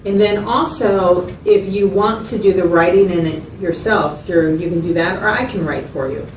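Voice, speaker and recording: Woman playing patient clinician lady, lowish voice, explains step by step, echoey room, mic kinda far. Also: close to an everyday voice. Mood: patient, explanatory. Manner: measured explaining, checks understanding often. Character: patient, methodical mental health facilitator guiding a client. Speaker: mental health clinician. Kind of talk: explanatory one-to-one consultation, role-played. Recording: roomy, distant mic, noisy room sound.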